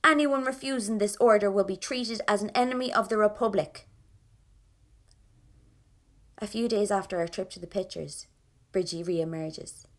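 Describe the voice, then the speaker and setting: A woman acts out a young Irish rebel from 1920s Dublin in an audio drama. She narrates in the first person with wry, colloquial defiance and a dramatic storyteller's delivery, in a medium-pitched female voice. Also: a little fast, close to an everyday voice. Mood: proclaiming.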